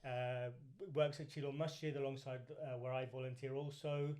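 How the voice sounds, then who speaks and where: A man's voice: mid-range voice, level tone, normal speed, a little mellow. A man with a southern English accent, talking casually as a podcast host and interviewer. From UK, grew up in Reading.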